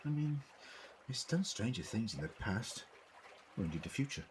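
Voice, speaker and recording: A man's voice: mid-range voice, sing-song, moderate pace, a little hiss or hum, lightly acted. A man acting in an audio drama as an eccentric, inquisitive Doctor who muses precisely as he investigates. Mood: inquisitive, musing. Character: male time-travelling Doctor, curious, eccentric, quick-thinking investigator.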